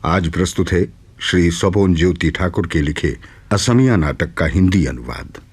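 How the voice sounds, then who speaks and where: A man formally announcing a radio play in a scripted style with a husky voice. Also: deep voice, moderate pace.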